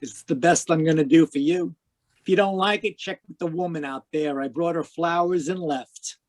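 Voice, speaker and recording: A man playing a wisecracking hardboiled private eye, higher-voiced. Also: moderate pace, natural voice. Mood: sardonic, defiant. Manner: wry, terse, slangy delivery. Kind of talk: hardboiled 1940s radio detective narration.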